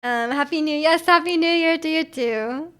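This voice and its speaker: A woman's voice: high voice, normal speed, a bit bright. A woman in her twenties, a native Japanese speaker, chatting casually to livestream viewers in a giggly, rambling, self-deprecating way. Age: 20s.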